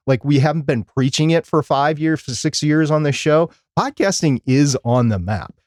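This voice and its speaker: A man with a mid-pitched voice talks casually as a podcast co-host. He is emphatic, often circling back over a phrase to stress it, and the sound is close and dry. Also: a little mellow, dry recording. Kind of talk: casual live-stream podcast talk.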